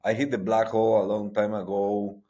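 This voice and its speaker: Halting man. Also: lowish voice, level tone, dark tone. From Guatemala. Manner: halting, frequent restarts and repetitions. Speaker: guest, sports entrepreneur. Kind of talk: conversational interview.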